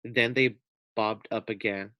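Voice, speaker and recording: A man reading a children's storybook aloud as a teacher, with deliberate, clear phrasing. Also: lowish voice, steady tone, no room sound, dull audio.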